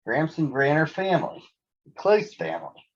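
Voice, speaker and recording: Man as a husky, caring mother bear. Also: a little high, big pitch swings, normal speed, pitched above the performer's own voice. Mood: worried. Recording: dull lo-fi sound.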